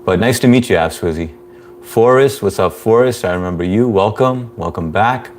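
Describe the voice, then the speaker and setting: A man in his thirties hosting a casual live stream, greeting viewers and reading their comments aloud in a laid-back, monotone way with frequent chuckles. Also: lowish voice, normal speed, a little hiss or hum.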